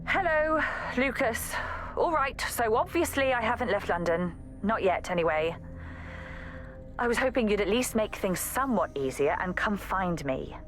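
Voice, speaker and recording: A woman's voice: medium pitch, lively intonation, moderate pace, soft background music, close to an everyday voice. An actress playing an exasperated, protective young woman assassin in a video game audio log, scolding and pleading emphatically. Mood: weary, pleading.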